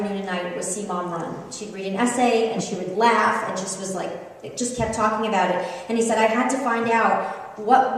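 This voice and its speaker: A middle-aged American woman, an author giving a humorous, conversational live book reading with animated, expressive delivery, recorded from a distance in an echoey room. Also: a little high. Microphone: distant microphone.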